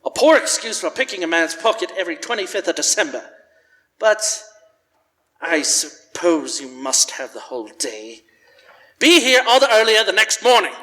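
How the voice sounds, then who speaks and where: A cold old skinflint in a radio play, his voice pitched high with a hard, sharp edge. He is curt and scornful, and the words ring in a long, echoey hall. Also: big pitch swings, moderate pace, heavily acted. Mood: grudging. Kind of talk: live radio-play acting. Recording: live in echoey church hall.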